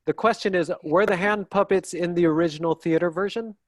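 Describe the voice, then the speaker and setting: Higher-pitched man with dry, close sound. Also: brisk, no room sound. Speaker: moderator reading audience questions. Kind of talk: casual Q&A moderation. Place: video call.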